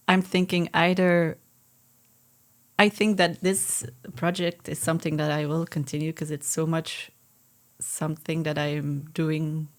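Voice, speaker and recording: A woman with a Dutch accent answers interview questions in a low, level voice. She speaks thoughtfully, pausing and hesitating as she works out what she means. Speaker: musician guest. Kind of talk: casual interview.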